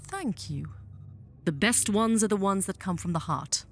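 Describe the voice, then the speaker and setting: A woman playing a commanding alien general in a scripted audio drama, speaking in a formal, measured, imperious manner. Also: medium pitch, expressive, faint music behind. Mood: smug, dry. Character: commanding alien female general, proud, coldly persuasive mother.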